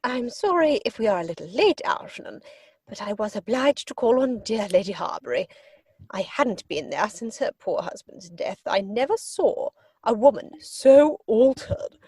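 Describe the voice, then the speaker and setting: A woman's voice: a little high, big pitch swings, normal speed, a little mellow, breathy edge, dry recording, studio quality. A woman playing an imperious older aristocratic English lady in a theatrical comedy reading, pompous and emphatic. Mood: grand, gossipy.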